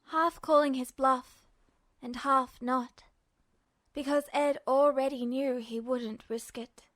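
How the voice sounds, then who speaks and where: A woman's voice: high-pitched, fairly bright tone, no echo, studio quality. A woman reading dramatic fiction aloud as an audiobook narrator.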